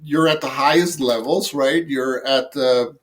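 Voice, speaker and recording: A man's voice: mid-range voice, a little slow, fairly bright tone. An older man from the Netherlands with a Dutch accent, a guest telling long rambling stories about his past jobs with lots of fillers and self-mocking asides. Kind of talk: casual interview storytelling.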